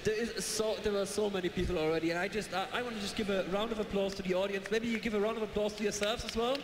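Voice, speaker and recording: A man casting an esports match live, chatty and jokey, excitable. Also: high-pitched, fast. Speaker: esports caster. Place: large event hall with live audience. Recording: echoey hall, noisy crowd.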